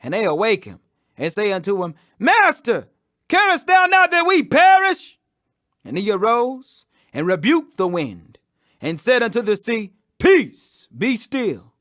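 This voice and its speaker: A man's voice: high voice, wildly swooping pitch, a little slow, a bit bright, dry recording, clean studio sound. A man reading scripture aloud as a scripted audiobook, with an emphatic, declamatory delivery. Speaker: Bible reader.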